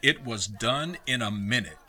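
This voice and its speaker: A man narrating a radio play as scripted dramatic storytelling, expressive and theatrical. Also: mid-range voice, measured pace, faint music behind.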